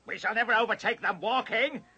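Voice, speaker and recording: Sharp, barking dwarf henchman. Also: high voice, brisk, slightly rough, muffled recording, heavily acted. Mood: grumbling. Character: gruff male dwarf sled driver, servant of a witch. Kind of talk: animated fantasy character acting.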